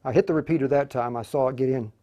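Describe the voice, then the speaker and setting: A man hosting an unscripted live tutorial, speaking haltingly and often muttering to himself as he works. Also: mid-range voice, noisy background. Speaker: host of a live radio tutorial.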